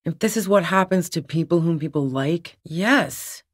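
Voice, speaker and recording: A woman's voice: lowish voice, expressive, moderate pace, husky. An actress playing a tough, wary, sardonic woman on the run in a video game, speaking bluntly. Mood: somber, regretful. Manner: blunt, sardonic, foul-mouthed. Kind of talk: video game character dialogue.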